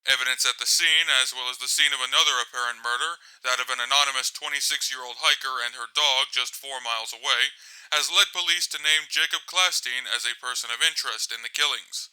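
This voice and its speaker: A man's voice: medium pitch, brisk, pushed and bright, clear, resonant tone, rough recording. A man playing a composed news reporter, reading scripted news in a formal, articulate way.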